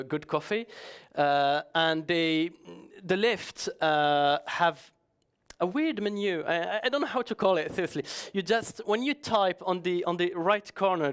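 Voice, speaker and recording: A man's voice: on the high side, fairly bright tone, clean tone. A man giving a conference talk in a chatty, joking way, often hesitating and restarting. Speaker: conference speaker.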